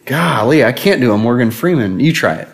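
Brief: playful co-host in his 30s, laughing, animated. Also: medium pitch, brisk, creaky, slightly rough. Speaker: podcast co-host. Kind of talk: casual chat with a child.

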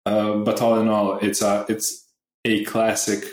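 Low-voiced stammering man in an echoey room. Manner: animated, stammering restarts, quick to laugh. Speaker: podcast guest and regular. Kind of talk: casual podcast chat.

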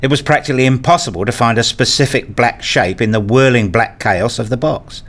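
A man reading a scripted first-person story as narrator, in a measured, introspective manner. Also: medium pitch, fairly bright tone.

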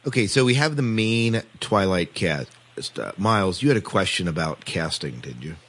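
A man hosting a casual panel discussion, speaking haltingly and often repeating words. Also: sits low, animated, a bit bright. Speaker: podcast host.

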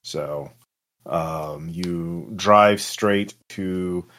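A man running a tabletop game as game master, narrating casually and off the cuff in a halting way with drawn-out pauses. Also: low voice, fairly bright tone.

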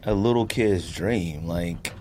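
A man's voice: deep voice, slow, a little mellow. A man co-hosting a casual podcast chat, slangy and emphatic, often repeating himself for effect. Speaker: podcast co-host. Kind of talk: casual banter about games and nostalgia. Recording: noticeable background noise.

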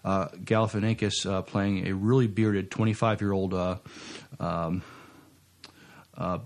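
A man co-hosting a casual podcast, talking in a halting, hesitant way. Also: low-pitched, fairly even intonation. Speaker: podcast co-host.

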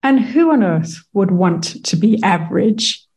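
Mellow-voiced woman radio host. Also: medium pitch, lively intonation, measured pace, breathy edge. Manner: warm, encouraging, polished sign-off. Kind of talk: hosting a radio interview.

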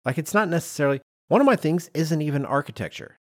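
A middle-aged man co-hosting a podcast in a conversational, animated and emphatic way, with some self-deprecation. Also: fairly high pitch, fast, dry recording, studio quality. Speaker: podcast co-host.

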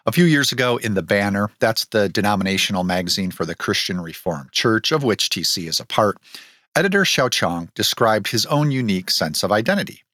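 A man's voice: fairly low pitch, expressive, a little fast, bright, forceful tone, no echo, clean studio sound. A man hosting a podcast, discussing films and reading scripted narration. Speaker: podcast host.

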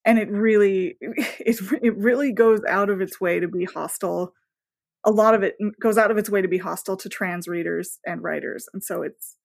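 Female podcast guest, mid-range voice, conversational, animated storyteller with nerdy enthusiasm. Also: medium pitch, gravelly. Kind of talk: casual interview conversation.

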